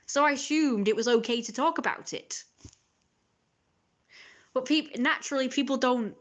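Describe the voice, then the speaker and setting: A woman streamer ranting in casual live chat. She talks fast and exasperated, with mocking asides that quote other people. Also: sits high, fairly bright tone. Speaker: livestreamer.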